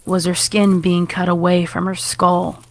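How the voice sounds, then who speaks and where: A woman's voice: on the low side, little pitch movement, measured pace. A woman narrator reading a scripted horror story.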